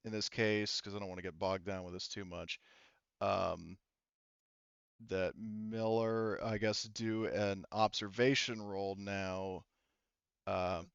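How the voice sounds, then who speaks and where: A man running a tabletop game as game master, casually improvising narration in a halting, sweary way as he thinks aloud into a headset. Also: fairly low pitch, steady tone, vocal fry, clean tone, dry recording, muffled recording. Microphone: headset mic.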